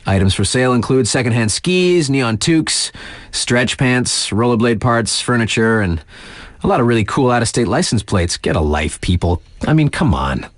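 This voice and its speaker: A man's voice: mid-range voice, animated, normal speed, no room sound, clean studio sound, close to an everyday voice. A man playing a laid-back, sardonic young radio DJ in a video game, reading local news in a wry, slangy, conversational way. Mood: upbeat, sardonic.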